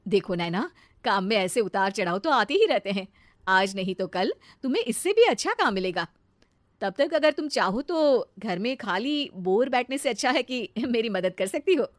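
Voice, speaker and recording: A woman's voice: on the high side, very animated, moderate pace, dry recording, clean studio sound. A woman voicing a patient, kindly sister-in-law in a cartoon story, friendly and soothing. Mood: concerned, soothing. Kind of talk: cartoon moral-story dialogue.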